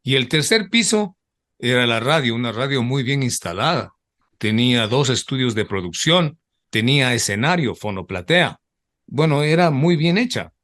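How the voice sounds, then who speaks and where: An older man reminisces about his years as a radio announcer. His voice sits in an ordinary middle pitch for a man. He tells the story in a loose, rambling way and keeps trailing off with repeated asides. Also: lively intonation, moderate pace, fairly bright tone. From Ecuador. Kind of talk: reminiscing in an interview.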